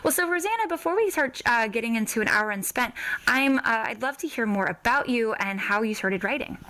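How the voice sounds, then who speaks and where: A woman's voice: on the high side, lively intonation, brisk, sharp tone, rough recording. A woman hosting a podcast interview, chatting in a gushing, giggly, enthusiastic way. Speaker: podcast host interviewing an author.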